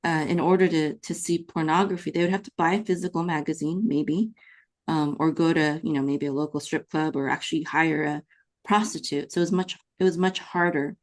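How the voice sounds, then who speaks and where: A woman's voice: deep voice, steady tone, a little fast. A woman with a Californian American accent hosting a conversational podcast interview, explaining her opinions. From California, United States, born in the Philippines. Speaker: podcast host.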